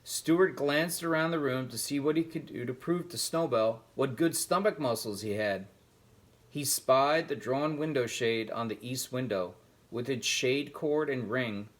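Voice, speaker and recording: A man reading a children's book aloud in a deliberate, teacherly way. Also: medium pitch. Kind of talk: read-aloud storytelling with vocabulary and questions.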